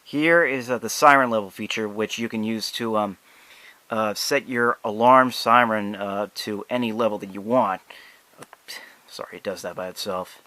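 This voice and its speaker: A young man giving a casual, unscripted product demonstration in a halting, hesitant, apologetic way. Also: on the low side, pushed and bright, no echo. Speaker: amateur product reviewer.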